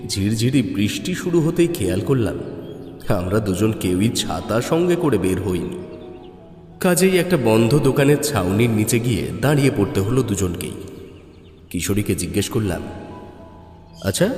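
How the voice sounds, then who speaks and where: Lively male story narrator, echoing. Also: mid-range voice. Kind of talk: dramatic first-person horror story narration. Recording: echoey reverb, music underneath.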